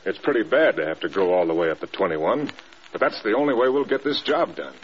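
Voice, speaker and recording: An actor playing a stern, demanding American air force general in clipped, blunt classic radio drama style. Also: male voice, on the low side, animated, fast, a little hiss or hum, close to an everyday voice. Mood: quiet, resolute. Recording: dull, band-limited old radio recording.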